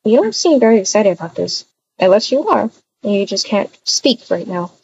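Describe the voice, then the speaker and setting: A woman's voice: medium pitch, sing-song, normal speed, gravelly, slightly rough, muffled recording. A woman improvising a voiced video-game roleplay, chatting and narrating her own actions aloud.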